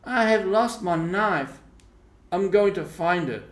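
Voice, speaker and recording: A man voicing an eager, boastful young boy in storybook dialogue, with an excited, emphatic delivery. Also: high voice, slow pace, pitched above the performer's own voice. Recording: roomy, echoing voice recording.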